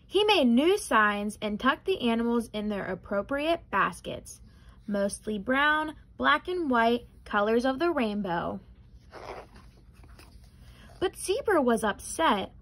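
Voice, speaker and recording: A young adult woman reading a children's picture book aloud in an expressive, careful way. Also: on the high side, takes it slowly, low-quality recording. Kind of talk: children's storybook read-aloud.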